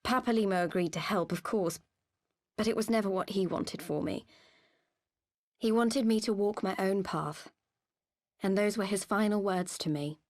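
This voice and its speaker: An actress playing an earnest, quietly resolute young woman fighter in a video game, speaking sincerely and with measured feeling. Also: mid-range voice, dry recording, studio quality, close to an everyday voice. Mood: confessional, regretful. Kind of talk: video game cutscene voice acting.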